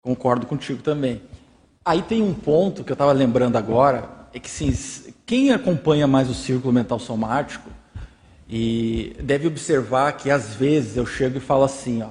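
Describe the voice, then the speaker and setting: A man's voice: mid-range voice, darkish tone. A man with a Brazilian accent leading an informal group discussion, explaining his points with everyday examples. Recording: reverberant hall.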